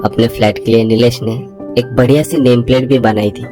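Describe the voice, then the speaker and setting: A man's voice: medium pitch, fairly even intonation, brisk. A man narrating a scripted bedtime story with dramatic storytelling and voicing the hero's lines. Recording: loud music bed under narration.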